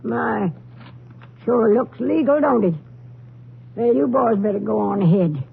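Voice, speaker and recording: A woman's voice: fairly high pitch, measured pace, creaky, husky, heavily acted. A woman playing a folksy, nosy older landlady with a rural Southern accent, grumbling and rambling in old-time radio drama style. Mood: wary, complaining. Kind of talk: 1940s-50s radio drama acting. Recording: muffled, noisy old radio recording.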